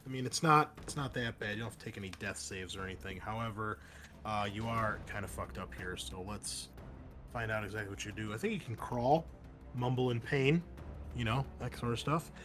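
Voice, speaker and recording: A man's voice: fairly low pitch, a little fast, faint music behind. Laid-back man running a tabletop game. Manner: casual, relaxed, frequent fillers. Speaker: game master.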